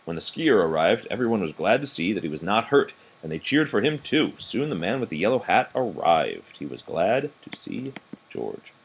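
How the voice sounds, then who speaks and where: Man, children's storybook read-aloud, lowish voice, lively expressive intonation, muffled lo-fi recording. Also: moderate pace, gravelly, a little hiss or hum. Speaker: storybook reader.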